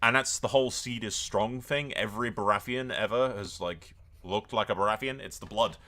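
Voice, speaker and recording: A man's voice: lowish voice, normal speed, sharp tone. A man giving casual, rambling, irreverent commentary as he plays through a game, swearing freely. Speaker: gaming video host.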